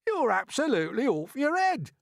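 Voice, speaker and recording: An indignant earthworm, shrill and loud, voiced by a storyteller, protesting at the top of his lungs in a storybook reading. The sound is dry and close. The voice climbs well above the reader's own. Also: sing-song, normal speed, gravelly, dry recording, exaggerated voice. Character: fussy, panicky male earthworm, indignant. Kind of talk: audiobook character reading.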